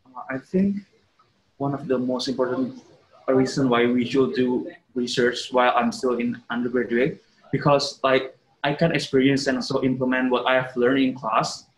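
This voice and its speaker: A young man with an Indonesian accent answers panel questions in a studio. His delivery is flat and even, with little pitch movement. He speaks earnestly in plain terms. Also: normal speed, low-quality recording. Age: young adult. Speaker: undergraduate panelist. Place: studio, indoors.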